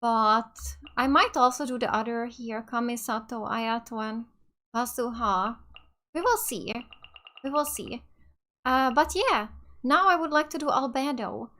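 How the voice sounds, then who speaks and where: A woman's voice: fairly high pitch, unhurried, bright, forceful tone. A woman from Finland giving chatty, enthusiastic casual let's play commentary as a gaming host. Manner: chatty, enthusiastic about the game.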